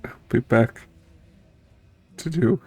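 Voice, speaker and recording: Tearful, gravelly streamer, halting and choked up. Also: mid-range voice, darkish tone, faint music behind. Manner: halting, sighing, at a loss for words. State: tearful, sniffling, choked up from crying. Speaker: streamer, game playthrough host. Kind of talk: emotional unscripted chat to viewers after finishing a game.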